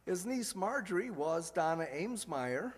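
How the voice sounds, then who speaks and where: Male radio-show announcer, high voice, playful ad-libber, reverberant hall recording. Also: expressive, normal speed. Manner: jokey, ad-libbing. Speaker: announcer and host. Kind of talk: live old-time radio show announcing. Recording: echoey hall with background hiss.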